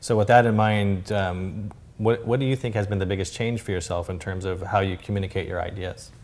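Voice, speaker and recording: Low-voiced man, friendly interviewer. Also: fairly even intonation, a little mellow. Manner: friendly, halting with repeated words. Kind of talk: casual interview.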